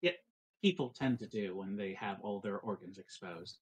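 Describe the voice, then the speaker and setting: A man playing a composed, politely attentive female ship doctor in a tabletop roleplay, speaking formally and explaining things in a measured way. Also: lowish voice, breathy edge, voice pushed down. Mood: matter-of-fact.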